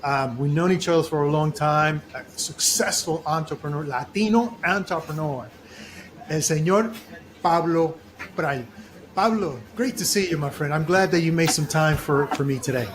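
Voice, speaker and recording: A man hosting an interview podcast, talking in a deliberate way and repeating phrases for emphasis. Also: a little high, a little hiss or hum. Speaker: podcast host.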